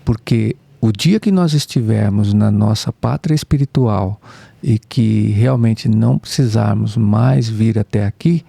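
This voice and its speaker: A man co-hosting a spiritist study podcast, speaking in a measured, reflective way. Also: fairly low pitch, creaky, mellow, breathy edge, no echo.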